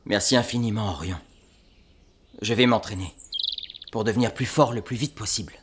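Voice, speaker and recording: A man playing a stubborn, determined young apprentice fighter in an audio drama, with a husky voice. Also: lowish voice, moderate pace, background noise, natural voice.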